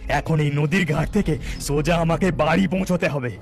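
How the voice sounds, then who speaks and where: A man's voice: fairly high pitch, moderate pace, gravelly, faint music behind. A man playing a sneaky, jumpy snake charmer thief who exclaims and repeats himself in a cartoon. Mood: scheming relief.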